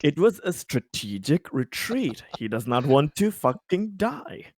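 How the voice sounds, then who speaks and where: A man's voice: fairly high pitch, sing-song, a little slow, darkish tone, no echo, studio quality. A man chatting casually as a player in a tabletop game, with a joking, wry manner.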